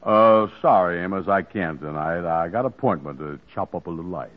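A man playing a slow-witted, lazy sweet-talker with a hesitant, folksy drawl in 1940s radio comedy. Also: sits low, natural voice. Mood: sheepish. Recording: noisy, muffled, clicking old radio recording.

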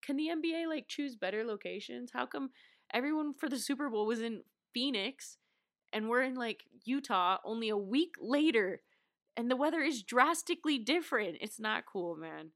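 A woman's voice: a little high, animated, moderate pace, fairly bright tone, no room sound, clean studio sound. A young adult woman with a stuffed-up, congested voice, chatting casually as a basketball podcast host, with lots of "like" and laughs. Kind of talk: casual chat.

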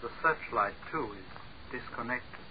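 Man acting a menacing young man, cold, sardonic, deadpan, deliberate delivery, medium pitch, 1940s radio horror, faint hiss. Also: close to an everyday voice. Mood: calm menace. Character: coldly menacing young man, calm, mocking, resolved to die. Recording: hissy, muffled 1940s radio recording.

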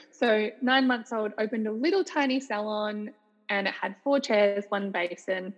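An Australian woman in her thirties speaks as a podcast guest, chatty and quick to laugh. Her voice has a husky, slightly breathy edge, and she often restarts her sentences as she talks. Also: mid-range voice, low-quality recording. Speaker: guest, salon owner. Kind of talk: casual podcast interview.

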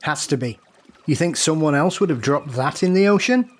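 A man's voice: on the high side, expressive, normal speed. A man playing a grieving, defensive brother, a worn-out diver, in blunt, terse audio drama acting. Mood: defensive, bitter.

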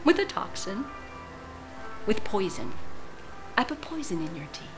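An actress in an audio drama playing a bitter, vengeful, betrayed woman, with a deliberate, biting and theatrical delivery. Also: medium pitch, big pitch swings, over music, low-quality recording. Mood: cold menace.

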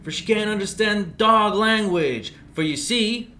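A woman's voice: medium pitch, a little slow, sharp tone, a little hiss or hum, pitched above the performer's own voice. A woman voicing a big friendly male dog, earnest and concerned, in a children's storybook reading. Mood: concerned, agitated.

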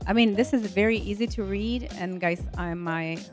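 A woman's voice: medium pitch, moderate pace, soft background music. A woman with an Italian accent, Italian her first language, hosting a faith podcast and affirming her guest often. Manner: warm encouraging, frequent affirmations. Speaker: host.

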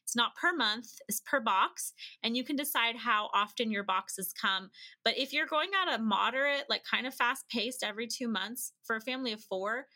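A woman's voice: a little high, moderate pace, pushed and bright. An American woman as a podcast guest, explaining things in an informal, conversational way. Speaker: podcast guest, company representative.

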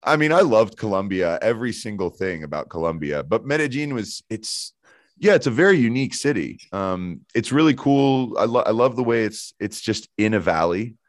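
A man's voice: fairly low pitch, very animated, normal speed, no echo, studio quality. A man hosting a podcast in easygoing, enthusiastic interview chat with frequent restarts. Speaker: podcast host.

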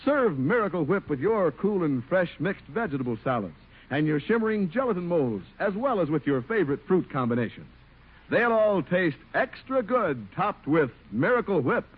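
A man's voice: high voice, big pitch swings, moderate pace, husky, phone-quality sound. A man announcing in a polished 1940s radio style, reading scripted sales copy and narration. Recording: thin, band-limited old radio recording.

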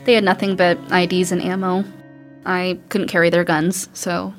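A woman's voice: fairly low pitch, flat delivery, normal speed, faint music behind, natural voice. Actress as weary, hesitant female survivor. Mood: weary, apologetic. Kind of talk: audio drama acting.

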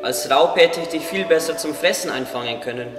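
A somewhat high voice comes from a little way off in an echoing hall. It is a playful, loyal bird played by a man in a radio play. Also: fairly quick, over music, natural voice. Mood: teasing. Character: playful teasing bird, earnest loyal friend. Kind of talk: German radio play acting. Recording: distant, echoey hall.

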